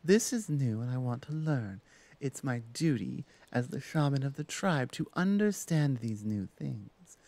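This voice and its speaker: A man's voice: medium pitch, lively intonation, a little slow, darkish tone. A man playing a curious, self-important female orc shaman, an insistent sister in an ASMR roleplay drama. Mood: eager, indignant.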